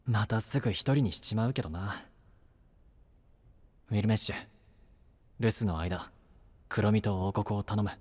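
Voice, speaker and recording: An actor playing a brash, devoted young knight-to-be who talks bluntly and casually in an anime-style voice drama. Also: male voice, fairly low pitch, moderate pace, pauses often, a little mellow. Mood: calm, wry. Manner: blunt, casual rough masculine speech. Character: brash, earnest young male knight-to-be, rough-spoken but devoted.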